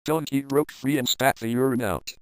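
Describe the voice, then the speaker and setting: A man's voice: mid-range voice, fairly even intonation, normal speed, no echo. A man reading a comic fan fiction story aloud in a playful, crude, dramatic manner.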